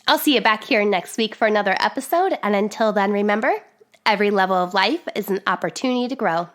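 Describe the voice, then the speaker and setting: A woman hosting a podcast, a little nasal from sinus congestion, bubbly and quick with praise. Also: mid-range voice, a bit bright. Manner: bubbly, laughs often, gushing praise. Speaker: podcast host. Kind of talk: casual interview chat.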